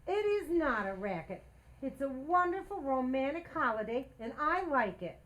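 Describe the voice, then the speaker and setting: A woman playing a romantic, easily exasperated housewife in an old-time radio comedy, expressive and wheedling. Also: high voice, normal speed, poor audio. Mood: pleading, romantic. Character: Liz, hinting, romantic, exasperated young housewife.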